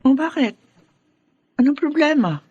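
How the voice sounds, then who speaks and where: An actress playing a lively, chatty aunt who welcomes her nephew, in a radio drama. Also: high-pitched, unhurried, no echo, lo-fi sound. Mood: animated. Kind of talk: Filipino radio drama acting.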